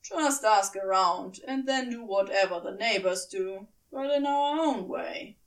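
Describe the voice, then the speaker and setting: A woman's voice: mid-range voice, measured pace, distant mic, close to an everyday voice. A woman voicing a laid-back, wryly amused male demon in an audiobook, with a casual, teasing manner. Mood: breezy. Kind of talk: audiobook character voice.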